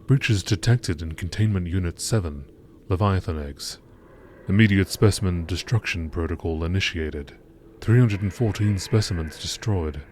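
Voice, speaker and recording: A man's voice: deep voice, moderate pace, a little mellow, a little hiss or hum, lightly acted. A man voicing a grave, impersonal sci-fi facility log in a formal, detached manner. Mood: grave, official. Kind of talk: scripted sci-fi game log narration.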